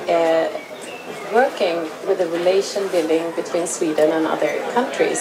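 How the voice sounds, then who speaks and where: Woman, lowish voice, plain panel talk, crowd noise. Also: low-quality recording. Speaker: head of film and TV at an institute.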